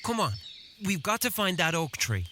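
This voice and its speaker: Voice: mid-range voice, very animated, moderate pace, bright, forceful tone, a little hiss or hum. An actor playing a reckless but protective older brother, a young boy adventurer, earnest in an audio drama. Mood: earnest, wry.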